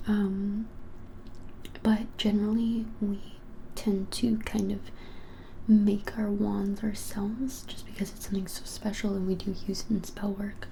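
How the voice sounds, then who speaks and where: A woman in her twenties whispering a casual ASMR shopkeeper roleplay in a breathy, halting, helpful way. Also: mid-range voice, level tone, slight background noise. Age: 20s. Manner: soft, hesitant, halting, helpful explaining. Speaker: ASMR creator playing a witch shop keeper. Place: small plain indoor room.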